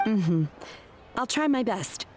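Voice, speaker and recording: A woman voicing an earnest, reserved young female disciple in a video game, polite and measured. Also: medium pitch, expressive, over music.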